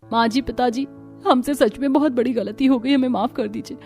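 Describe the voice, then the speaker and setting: A woman voicing a chatty, somewhat pert young daughter-in-law from the city in an animated story. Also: on the high side, moderate pace, close to an everyday voice. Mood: apologetic. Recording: music under the voice.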